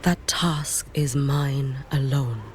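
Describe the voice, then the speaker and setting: A woman voicing a stoic, solemn female warrior in a video game, speaking formally and softly, with a breathy edge. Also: very deep voice, a little hiss or hum. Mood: solemn, measured. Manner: formal, measured, grave. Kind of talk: scripted video game voice acting.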